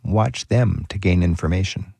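A man's voice: sits low, normal speed, creaky, a little mellow, breathy edge, dry recording. A man hosting a radio travel show, interviewing a guest in a casual, curious way and reading out topics one by one.

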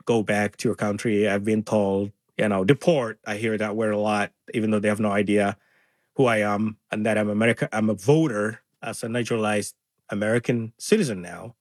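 A man's voice: fairly low pitch, no room sound, studio quality. A man from Somalia, an interview guest talking earnestly and acting out others' words with animation. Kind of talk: conversational interview.

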